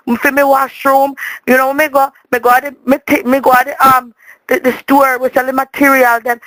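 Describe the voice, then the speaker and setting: A woman's voice: a little high, a bit bright, dry recording, low-quality recording. Chatty, dramatic Jamaican woman telling a story. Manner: dramatic, chatty, repeats words for emphasis. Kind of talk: rambling comic storytelling in a voicemail.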